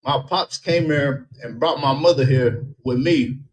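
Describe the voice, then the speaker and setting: A man whose first language is Somali, born in Somalia, talking with a calm, laid-back, slangy manner as a guest on a live stream and trying to keep things friendly. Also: medium pitch, a little slow, low-quality recording. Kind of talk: casual argument on a live stream.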